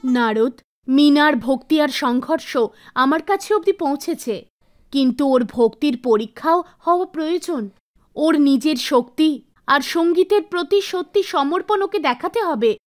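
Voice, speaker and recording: A woman voicing a gracious, benevolent goddess in an animated devotional story, speaking in a measured, formal way. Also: high-pitched. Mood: solemn. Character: gracious, composed goddess of music, testing and blessing.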